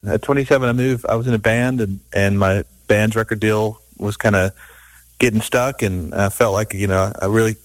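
Man from georgia, middle-aged, low voice, quick rambling talk, dry close sound. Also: steady tone, no echo, rough recording. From Savannah, Georgia, US. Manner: rambling, many restarts and fillers. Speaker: guest, music producer. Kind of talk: casual interview talk.

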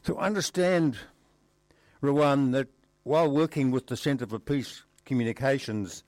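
A man hosting an interview, putting formal questions in a measured, slightly halting way as he builds up to each one. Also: mid-range voice, a little mellow, dry recording. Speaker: interviewer, host.

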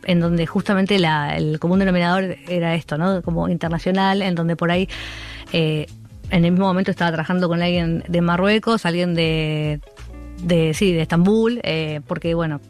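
A woman's voice: lowish voice, fairly even intonation, moderate pace, soft background music. A woman from Argentina with a Rioplatense accent, a guest who speaks in a casual interview with many fillers and restarts. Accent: Rioplatense Spanish. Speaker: art curator guest.

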